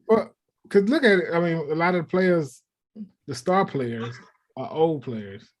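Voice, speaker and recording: A man's voice: on the high side, expressive, measured pace. A man on a talk show panel, debating sports casually in a matter-of-fact, argumentative way.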